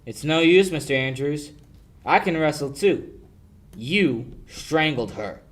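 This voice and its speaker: A man's voice: on the high side, lively intonation, slow pace. A young male amateur actor playing a cocky, wisecracking sleuth with a confident, needling manner in a 1940s radio drama style. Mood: accusing. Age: young adult. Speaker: amateur high school actor.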